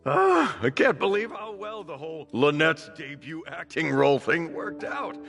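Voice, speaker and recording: Boastful theatre man, game dialogue, very lively intonation, creaky voice, music underneath. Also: on the high side, normal speed, big-room sound, exaggerated voice. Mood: smug, gleeful. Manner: boastful, animated. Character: smug, self-congratulating theatre man boasting of success. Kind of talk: video game voice acting.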